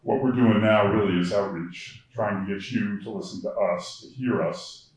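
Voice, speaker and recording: A man with a deep voice, chairing a committee and giving a forum presentation with some fillers. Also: normal speed, darkish tone. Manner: plain public speaking with fillers. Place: public forum room. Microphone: handheld or podium microphone. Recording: very echoey room, mic held far off.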